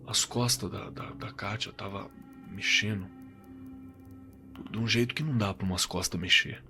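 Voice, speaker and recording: A man playing a shaken witness in an audio drama, recounting a frightening encounter in a halting, stammering, conversational way. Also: fairly low pitch, steady tone, sharp tone, faint music behind. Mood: uneasy. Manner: halting, stammering, repeating himself.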